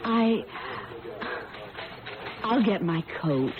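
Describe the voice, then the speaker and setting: A woman's voice: mid-range voice, lively intonation, very slow. An actress playing a naive, shy, eager young working woman in a radio drama, hesitant and often echoing questions back in disbelief. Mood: flustered, disbelieving. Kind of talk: vintage-style radio drama acting. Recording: tinny band-limited radio broadcast, background music.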